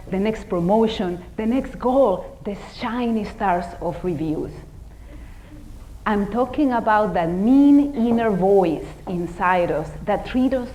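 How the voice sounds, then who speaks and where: A woman's voice: medium pitch, warm tone, a little hiss or hum, low-quality recording. Woman with a Dominican Spanish accent. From Dominican Republic. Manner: humorous, self-deprecating. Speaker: keynote speaker. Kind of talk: motivational talk.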